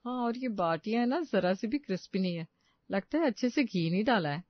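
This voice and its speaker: A man's voice: sits high, animated, fairly quick, dry recording, dull audio. A man voicing a nitpicking, snide older female relative in a cartoon story. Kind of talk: cartoon moral story voice acting.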